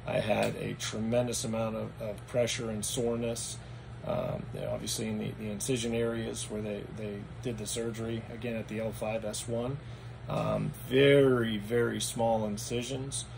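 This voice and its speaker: A man with a raspy, hoarse voice, talking through a casual vlog recap at home in a meandering, matter-of-fact way. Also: lowish voice, level tone, normal speed. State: hoarse after anesthesia. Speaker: vlogger. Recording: noticeable background noise.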